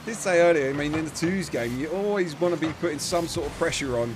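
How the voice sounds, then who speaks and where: A man giving chatty live play-by-play commentary on a match, his voice starting to go hoarse. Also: on the high side, quick pace, noisy background. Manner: chatty, self-deprecating asides, bursts of excitement on big plays. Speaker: esports commentator.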